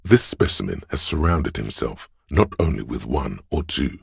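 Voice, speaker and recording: Super deep gravelly game narrator, sly voice in your head nudging you into schemes, coaxing and measured, really dry sound. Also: male voice, big pitch swings, no room sound, full character voice. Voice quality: gravelly, husky bass. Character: smooth, sly, scheming inner voice of persuasion, male. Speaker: video game voice actor. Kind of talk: scripted second-person game narration.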